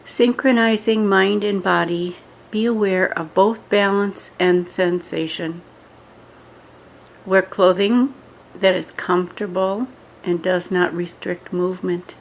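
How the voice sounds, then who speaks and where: A woman giving scripted guided yoga meditation instructions in a measured way, pausing between short phrases. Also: medium pitch, fairly even intonation, gravelly, rough recording.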